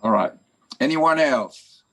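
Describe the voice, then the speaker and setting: Man chairing a video-call meeting, slow delivery, halting and filler-heavy. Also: mid-range voice, animated, a bit bright. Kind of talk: online club meeting.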